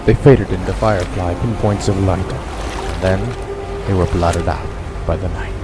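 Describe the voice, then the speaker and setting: Radio drama lead: male actor as stranded big-game hunter, deep-ish voice, dramatic first-person storytelling over prominent music. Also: steady tone, normal speed, lightly acted. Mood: tense, weary. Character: shipwrecked male big-game hunter recounting his ordeal.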